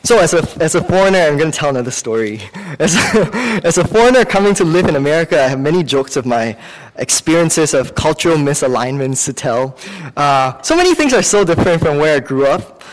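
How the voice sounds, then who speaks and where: Male preacher, Singaporean accent, slightly high pitch, informal and halting sermon delivery, recorded with long hall reverb. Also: big pitch swings. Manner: conversational, halting, with self-corrections. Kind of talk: sermon with personal anecdotes.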